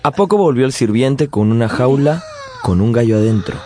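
An actor playing a nostalgic man who narrates his family's past in a measured, lyrical way for a radio drama. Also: medium pitch, animated, mellow, natural voice. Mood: fond.

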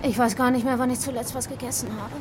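A woman's voice: a little high, little pitch movement, fast, noisy background, lightly acted. An actress playing a brash, foul-mouthed, tough young woman survivor in a German radio drama, with a sarcastic, combative way of talking. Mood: bitter.

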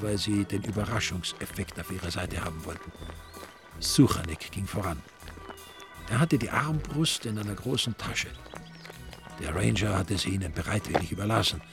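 A man narrating a crime story in a dry, wry, deadpan way. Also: fairly low pitch, creaky.

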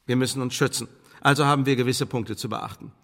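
Elizabethan secret service chief, radio play, ornate officialese, veiled menace, medium pitch, reverberant room. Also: male voice, steady tone, fast, creaky, a bit bright. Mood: officious, persuasive. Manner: formal, pompous, ornate officialese with veiled threats. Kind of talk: German radio drama acting.

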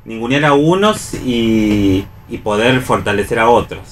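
A man's voice: lowish voice, expressive, a little slow. An Argentine man hosting a radio round table about a play, speaking reflectively and repeating words for emphasis. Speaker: radio host discussing a play. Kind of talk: round-table literary discussion.

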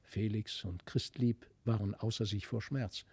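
A man reads a literary fairy tale as narrator. His voice is dark and mellow, and his delivery is expressive and solemn. The studio sound is very dry. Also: lowish voice, a little slow, husky, no room sound. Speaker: audiobook narrator.

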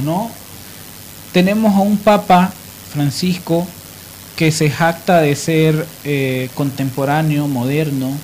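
A man hosting an opinionated radio discussion, emphatic and halting as he builds his points. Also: a little high, a little mellow, slight background noise, rough recording. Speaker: radio host.

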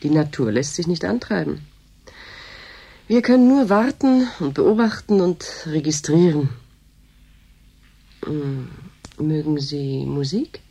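Brief: husky, mellow-voiced female boss for radio drama, matter-of-fact, disillusioned, dryly officious. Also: low-pitched, slow, long pauses, exaggerated voice. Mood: softer, musing. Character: world-weary, dryly officious female boss of a land reclamation station. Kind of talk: German radio drama acting.

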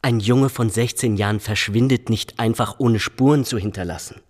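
An actor playing an ambitious, critical police officer in a radio drama, assertive and pointedly challenging. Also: male voice, on the low side, moderate pace, darkish tone, lightly acted. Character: ambitious, critical, smug male police colleague. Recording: long reverberant room.

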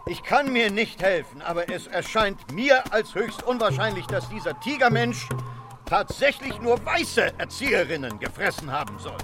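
A man acting in a German radio drama as an irascible, blunt British colonel in colonial India, gruff and indignant. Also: high-pitched, big pitch swings, normal speed, over music. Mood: opinionated.

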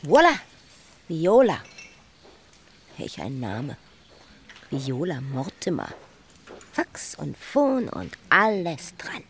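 A woman's voice: deep voice, wildly swooping pitch, slow, pauses often, slight background noise, heavily acted. An actress playing a bitter, watchful woman who narrates and talks to a former lover in a sardonic, observant way in a literary radio play. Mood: biting, provocative.

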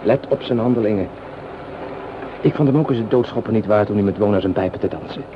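A thoughtful young soldier acted by a man speaks with earnest, educated idealism in a radio play. His delivery is measured and conciliatory, at an average male pitch. The old recording is muffled, with clear noise behind the voice. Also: lightly acted. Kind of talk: Dutch radio play acting.

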